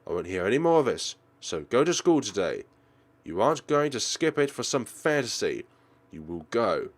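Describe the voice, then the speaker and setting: A man's voice: medium pitch, very animated, moderate pace. A man voicing a worried, stern mother who scolds her child in an insistent, pleading manner in a visual novel.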